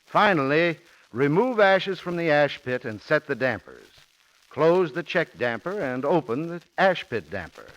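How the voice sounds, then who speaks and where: A man's voice: on the high side, expressive, measured pace, fairly bright tone. A man giving a scripted, folksy instructional advertising talk in 1940s radio style. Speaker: sponsor's home heating spokesman. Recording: dull, muffled 1940s radio recording.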